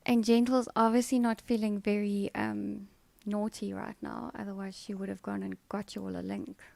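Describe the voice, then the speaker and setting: A woman's voice: mid-range voice, little pitch movement, moderate pace, vocal fry, warm tone, no room sound. A woman live streamer chatting casually with her viewers in a soothing voice, playfully answering comments and firmly saying no. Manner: chatty and playful, breaking into firm repeated no's.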